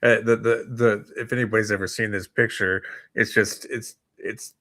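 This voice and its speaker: A man's voice: fairly low pitch, little pitch movement, normal speed, bright, forceful tone, no echo, studio quality. A man co-hosting a talk show in a casual, conversational style. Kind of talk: casual chat.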